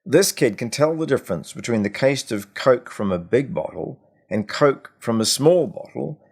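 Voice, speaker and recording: A man's voice: mid-range voice, lively intonation, normal speed, gravelly, a little mellow, husky, voice pushed up. A man voicing a blunt, incredulous male company executive in a story, direct and exasperated. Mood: exasperated disbelief.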